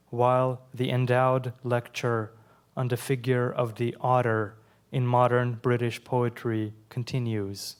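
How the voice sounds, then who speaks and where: Slow, measured male poet reading aloud. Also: mid-range voice. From Iran. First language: Persian. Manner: slow, measured reading with quiet asides between poems. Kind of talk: live poetry reading.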